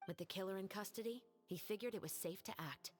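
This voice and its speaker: An actress playing a composed, principled young female police captain who speaks in a measured, formal way in a video game. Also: medium pitch, in a large hall, soft background music, natural voice. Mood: assured. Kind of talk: scripted video game voice acting.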